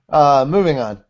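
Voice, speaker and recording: A man's voice: fairly high pitch, a little mellow. A man co-hosting a podcast in casual banter, rambling and repeating phrases for emphasis. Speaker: podcast co-host. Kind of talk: casual banter about anime. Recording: dull lo-fi audio.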